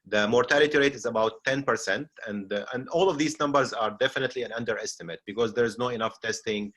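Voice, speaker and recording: A middle-aged man with a Syrian Arabic accent, moderating a seminar as a physician and speaking off the cuff with frequent pauses. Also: mid-range voice, a bit bright. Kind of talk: unscripted seminar discussion.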